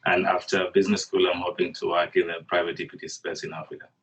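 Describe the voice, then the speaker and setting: A young man from Kenya with a very deep, husky voice answers panel questions in a flat, measured way. The video-call audio is dull and sounds a little far from the mic. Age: young adult.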